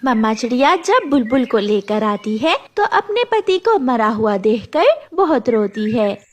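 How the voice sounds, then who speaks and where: A woman's voice: on the high side, big pitch swings, unhurried. A woman narrating a scripted children's story.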